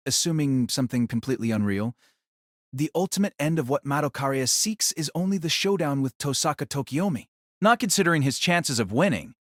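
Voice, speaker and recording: A man narrates a novel in a dramatic, scripted manner. His voice is a little high and moves widely in pitch. The sound is dry, with no room around it. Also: dry recording.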